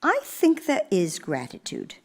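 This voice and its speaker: A woman's voice: on the low side, huge pitch swings, exaggerated voice. Woman playing an elderly, genteel stateswoman, expressively lilting. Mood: gracious, earnest. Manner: measured, genteel, deliberate storytelling. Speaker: stage actress. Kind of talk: theatrical one-woman monologue. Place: live radio theater performance in a church.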